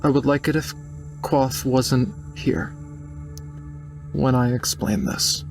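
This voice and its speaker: A man's voice: medium pitch, takes it slowly, gravelly, faint music behind. A man voicing a wary, formal woman fighter who phrases things carefully, in a tabletop roleplay. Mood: hesitant.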